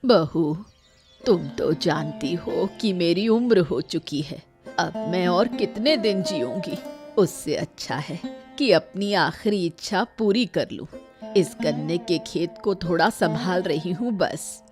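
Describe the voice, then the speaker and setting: A woman's voice: on the low side, animated, slow pace, music in the background. A woman voicing a stubborn, defiant old village mother-in-law in a cartoon story. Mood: pleading, stubborn.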